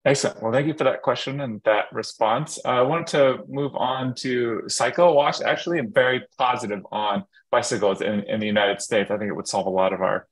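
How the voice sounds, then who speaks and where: A man's voice: medium pitch, rough recording. A man in his thirties moderating an online panel over a webcam video call, with many ums and restarts. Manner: halting, filler-heavy. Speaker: event moderator.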